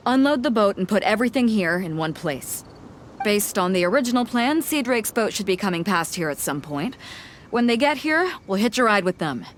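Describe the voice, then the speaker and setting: A woman's voice: medium pitch, expressive, normal speed, a little hiss or hum, lightly acted. An actress playing a bold, blunt female ship captain in a video game, confident and commanding.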